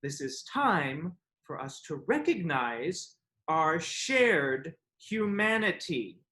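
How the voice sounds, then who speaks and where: A man's voice: on the high side, sing-song, takes it slowly, sharp tone, full character voice. A man acting a defensive, self-justifying character in a live staged reading, explaining earnestly and hesitantly. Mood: indignant, earnest. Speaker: actor in a virtual staged reading. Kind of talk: live staged play reading, conversational monologue. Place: indoors, streamed over video webinar.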